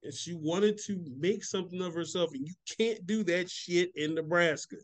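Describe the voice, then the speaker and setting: A man's voice: high-pitched, normal speed. A man on a casual podcast, telling stories loosely with lots of swearing and acted-out quotes. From California. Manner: profane, storytelling with acted-out quotes. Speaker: podcast co-host. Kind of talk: casual chat.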